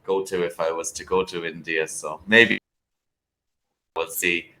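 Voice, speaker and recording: A low-voiced Irish man tutors English over a video call, and his tone has a bright, forward edge. He talks casually, hesitating often with fillers and restarts. The room around him rings with a noticeable echo. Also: steady tone, measured pace, slight background noise. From Ireland.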